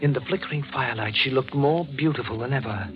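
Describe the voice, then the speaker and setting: Music swells behind a muffled vintage radio recording of a man acting a brooding young man. His mid-pitched voice is intense and formal, with bitter politeness, in 1940s radio drama style. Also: quick pace, lightly acted. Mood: haunted, tense. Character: brooding, resentful young stepson haunted by father's murder.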